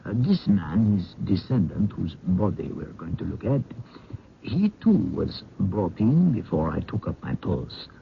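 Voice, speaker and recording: An earnest, inquisitive doctor in a vintage radio mystery. His deep voice swings expressively through formal, halting phrases, over a dull, hissy broadcast recording. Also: sing-song, gravelly, exaggerated voice. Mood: grave, musing. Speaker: actor in a radio mystery drama. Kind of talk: 1970s radio drama acting.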